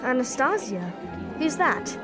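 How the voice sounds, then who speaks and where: A woman's voice: high voice, big pitch swings, measured pace, music in the background. A woman acting a plucky, curious young girl in a workhouse for an audio drama. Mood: curious, determined.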